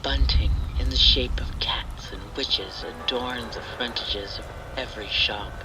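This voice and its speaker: Male horror storyteller, medium pitch, unhurried and hushed, scripted atmospheric read over clear rain noise. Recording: steady rain and thunder noise. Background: rain and thunder ambience.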